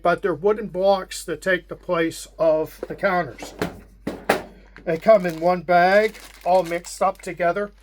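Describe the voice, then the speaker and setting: A man with a Pittsburgh accent, hosting a casual unboxing and walking through the contents in a measured way with frequent pauses. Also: high-pitched. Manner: unhurried, measured, with frequent hesitations. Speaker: wargame channel host.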